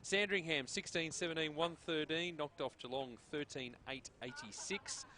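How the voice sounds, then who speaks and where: A chatty Australian man hosting a football broadcast, his voice pitched fairly high for a man. He jokes with his co-hosts and runs briskly through scores and standings. Faint noise sits under the recording. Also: bright, forceful tone. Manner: chatty, jokey, reads out scores. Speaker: sports broadcast host.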